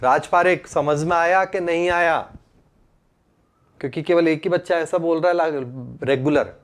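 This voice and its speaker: A man with an Indian accent and a slightly high voice teaches a live online class. He speaks informally as a teacher, slipping English terms into his explanations and repeatedly checking that students understand. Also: a little slow. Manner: mixes English terms into Hindi. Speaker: chemistry teacher.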